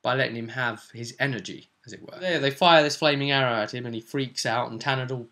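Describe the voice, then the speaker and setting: British man, game master, bright voice, mid pitch, casual storytelling, animated, acts out players' lines. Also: quick pace. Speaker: game master and host of a tabletop role-play vidcast. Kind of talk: casual recounting of a tabletop game session.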